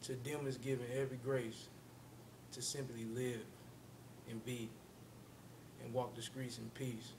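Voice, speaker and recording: A man reciting his own spoken-word poem in a measured, solemn, deliberate way. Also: flat delivery. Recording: rumbling background noise.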